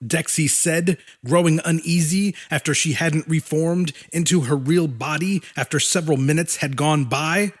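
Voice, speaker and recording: A man's voice: a little high, steady tone, normal speed, few pauses. A man narrating a fiction audiobook in a dramatic, scripted storytelling style.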